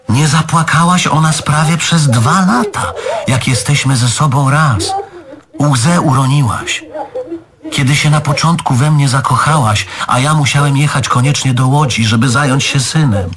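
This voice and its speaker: A man's voice: on the high side, a little slow, crowd noise, lightly acted. An actor playing a neurotic, bitter middle-aged man in a stage play, querulous and histrionic in a colloquial way. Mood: indignant, hurt. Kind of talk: theatrical stage play acting. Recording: live theatre recording with audience.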